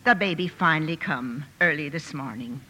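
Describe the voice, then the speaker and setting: Homely older servant woman: plain-spoken, matter-of-fact, bright, reverberant old radio drama. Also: low voice, normal speed, slight background noise. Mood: homely, cheerful. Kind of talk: 1940s radio drama acting. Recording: echoey old radio broadcast.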